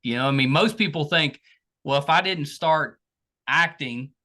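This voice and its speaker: A woman's voice: low voice, normal speed, pushed and bright. A woman interviewing casually on a podcast, agreeable and reflective, in informal everyday phrasing.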